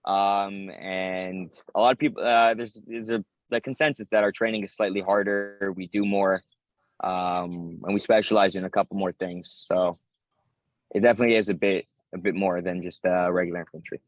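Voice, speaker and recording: A man's voice: low-pitched, steady tone, moderate pace, dry recording, lo-fi sound. A man in his twenties with an American accent, answering interview questions in casual talk as a soldier. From United States. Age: 20s. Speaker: interviewee, infantry paratrooper soldier.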